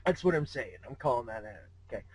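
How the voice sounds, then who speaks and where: A man giving casual, expressive commentary as a co-host reacting to a story. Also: mid-range voice, fast talker, husky, no room sound.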